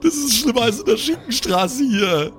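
Man playing a high, whining, hysterical party DJ. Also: animated, moderate pace, over music. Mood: tearful, hysterical. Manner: whining, tearful protests. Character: hysterical, weeping, sulky male party DJ.

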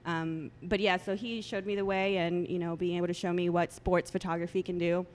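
A woman in her late twenties, raised with Spanish as her first language, talks as a guest in a low, soft voice full of ums. A faint rumble of noise sits under her speech. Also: level tone, brisk. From El Salvador. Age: 20s. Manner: soft-spoken, many ums, laughs often. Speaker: guest photographer. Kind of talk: casual interview chat.

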